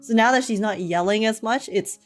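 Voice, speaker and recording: A woman's voice: a little high, a little fast. A woman live streamer giving casual, chatty, giggly commentary on a game. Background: game music.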